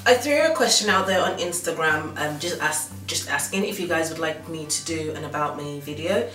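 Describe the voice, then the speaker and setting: A woman's voice: deep voice, expressive, moderate pace, sharp tone, soft background music. A woman in her thirties from Nigeria with a slight Yoruba accent, chatting casually to camera as a fashion blogger, rambling with frequent ums and restarts. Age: 30s. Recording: roomy sound from far off.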